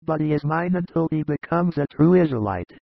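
A man voicing a hearty, earnest middle-aged fisherman in an audiobook, with a creaky voice and a plainspoken way of talking. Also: a little high, expressive, fairly quick, no echo, voice pushed up, exaggerated voice. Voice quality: gravelly, creaky. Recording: dull lo-fi dry recording.

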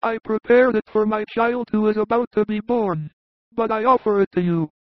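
A man's voice: sits high, fairly even intonation, moderate pace, dry recording, pitched above the performer's own voice, close to an everyday voice. A man voicing a bold, eager young shepherd in a raised, strained voice. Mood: earnest offering. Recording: dull lo-fi recording.

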